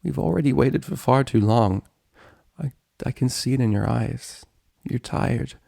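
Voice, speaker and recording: A man's voice: mid-range voice, measured pace, creaky, warm tone, voice pushed up. A man voicing a grieving, devoted female unicorn in a story reading, earnest and strained with emotion. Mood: firm sorrow.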